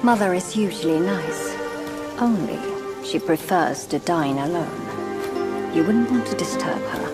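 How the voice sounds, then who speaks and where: A woman playing a young female spirit who gives careful, hushed warnings in a fantasy game drama. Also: on the low side, lively intonation, unhurried, over loud music. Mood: cautioning.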